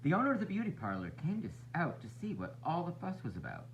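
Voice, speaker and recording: A man reads a children's picture book with a hugely varied, swooping delivery, stretching out key phrases like a teacher. The recording is muffled, with a loud hum behind his voice. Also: on the high side. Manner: expressive, drawn-out refrains and raised character voices. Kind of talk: animated storybook read-aloud for young children.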